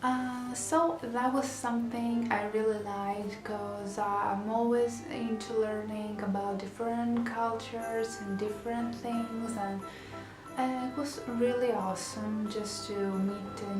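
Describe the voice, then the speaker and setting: Slow-talking Greek-accented young woman. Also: on the high side. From Greece. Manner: chatty, many fillers and restarts. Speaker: vlogger. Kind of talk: casual vlog chat. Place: small bedroom indoors. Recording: roomy echo, music behind. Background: background music.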